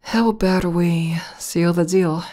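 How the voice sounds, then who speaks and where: A bashful tomboy demoness warrior, played by a woman, speaks to her partner in an intimate ASMR roleplay. Her voice is notably low and her delivery slow. She sounds soft, hesitant and deeply affectionate. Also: steady tone. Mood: tender, bashful. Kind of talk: ASMR roleplay, intimate one-sided conversation.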